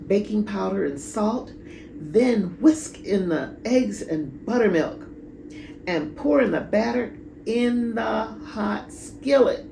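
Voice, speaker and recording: Woman storybook reader, slow, dramatic, creaky voice, echoey room. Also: medium pitch, dark tone. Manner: dramatic, deliberate, pausing. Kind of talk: expressive children's read-aloud. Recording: echoey room, clear background noise.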